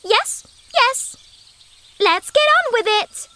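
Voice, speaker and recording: Woman as a shrill, spoiled, greedy girl. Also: big pitch swings, slow, sharp tone, exaggerated voice. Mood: impatient, demanding. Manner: demanding, petulant. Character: lazy, greedy, spoiled girl with impatient temper. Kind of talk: fairy tale character acting.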